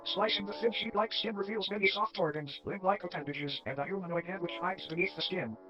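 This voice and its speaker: A man reading scripted horror documentary narration in a measured, matter-of-fact way with dry dark humour. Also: sits high, muffled recording. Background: clear background music.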